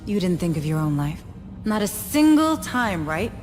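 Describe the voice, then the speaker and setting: A woman's voice: on the low side, sing-song, moderate pace, over music. A woman playing a stern, principled commander in a cinematic game, with a firm, pointed delivery. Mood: accusing, bitter.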